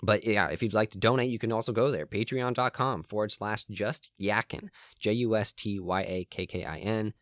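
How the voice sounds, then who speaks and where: Young guy podcasting alone in his room, really low voice, kinda hoarse, lots of ums, dry close sound. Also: normal speed, few pauses, dry recording. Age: young adult. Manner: rambling, frequent ums and restarts. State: voice cracking, slightly hoarse. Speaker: podcast host. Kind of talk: solo podcast monologue.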